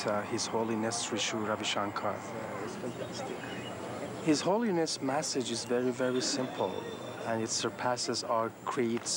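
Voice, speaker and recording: Devotee interviewee: middle-aged male, earnest, mid-pitched, clear traffic noise behind. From Iran. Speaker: interviewee, follower of a guru. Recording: noisy outdoor location, traffic.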